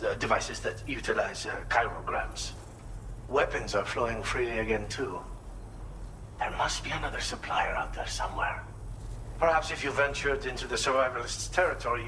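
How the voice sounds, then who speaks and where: An actor playing a thoughtful, helpful mechanic in a video game, explaining things in a measured, slightly hesitant way. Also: male voice, medium pitch, noisy background, lightly acted. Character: thoughtful male inventor mechanic, earnest and helpful. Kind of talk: video game cutscene dialogue.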